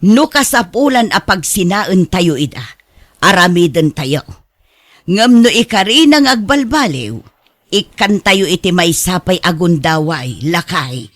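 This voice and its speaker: A woman playing a concerned older wife and mother in a radio drama, a firm peacemaker with a measured, admonishing way of talking. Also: on the low side, big pitch swings, dry recording, clean studio sound.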